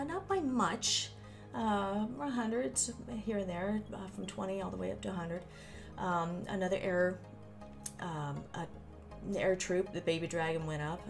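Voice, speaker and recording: A woman's voice: fairly low pitch, vocal fry. A woman giving a casual, chatty explainer on a game update, with informal asides and folksy exclamations. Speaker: gaming channel host. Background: background music.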